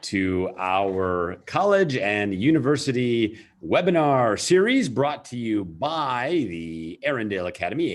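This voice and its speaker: Lively man hosting a webinar. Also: on the low side. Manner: lively, enthusiastic, frequent fillers. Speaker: webinar host.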